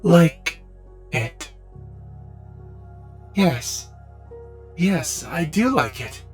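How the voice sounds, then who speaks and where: A man's voice: a little high, lively intonation, faint music behind. A man voicing an innocent, bewildered newborn cyclops with childlike, stammering speech in a fantasy ASMR roleplay. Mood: curious, shy. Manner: stammering, hesitant, simple halting speech.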